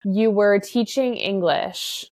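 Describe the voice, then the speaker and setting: A woman hosting a podcast, asking her guest questions in a chatty, quick, conversational way. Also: mid-range voice, darkish tone. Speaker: podcast host. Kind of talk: casual interview chat.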